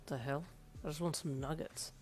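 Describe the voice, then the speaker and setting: A woman voicing a cocky young man, a teasing boyfriend who mutters in a low, casual, gruff way. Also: fairly even intonation, normal speed, a little mellow, faint music behind, close to an everyday voice. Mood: smirking, gruff.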